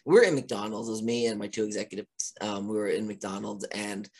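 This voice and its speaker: A man interviewing a horror filmmaker in a casual, chatty way, with a loud voice, frequent laughs and many fillers. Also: lowish voice, brisk, breathy edge.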